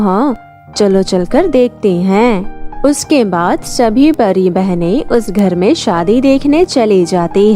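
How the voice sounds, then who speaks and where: A woman's voice: medium pitch, warm tone. A woman narrating a scripted children's fairy tale as a storyteller.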